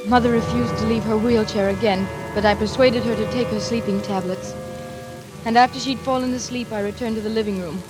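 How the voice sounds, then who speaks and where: An actress playing a frightened, dutiful young daughter who narrates a 1940s radio thriller in a tense, earnest, measured way. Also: female voice, a little high, steady tone, loud music behind the voice, lightly acted. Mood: dread. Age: young adult.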